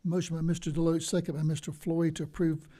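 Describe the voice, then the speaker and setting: Male chair, soft and mellow, steady procedural delivery. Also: a little high, quick pace. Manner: soft-spoken, formal procedural phrasing. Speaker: board chairman. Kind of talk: chairing a public meeting. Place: county meeting hall. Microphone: desk microphone.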